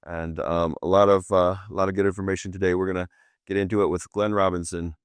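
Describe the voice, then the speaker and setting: Podcast host: man with a very deep, monotone voice, casual, rambling questions, dry close sound. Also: quick pace, smooth, no echo. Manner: rambling questions with fillers and restarts.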